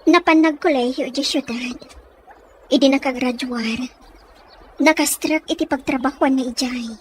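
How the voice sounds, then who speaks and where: An actress as a lovelorn young country daughter with a very high voice and an Ilocano Filipino accent. She speaks earnestly and plaintively in radio-drama style, over clear background noise and ambience. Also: moderate pace, natural voice. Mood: wistful. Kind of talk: audio comedy-drama acting.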